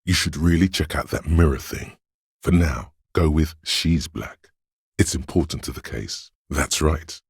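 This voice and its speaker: A man voicing a detective's coolly observant inner voice of visual reasoning in a video game, narrating in a measured, clinical, matter-of-fact way with a deep, gravelly tone. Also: dry recording, clean studio sound, exaggerated voice. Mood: blunt. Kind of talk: scripted second-person game narration.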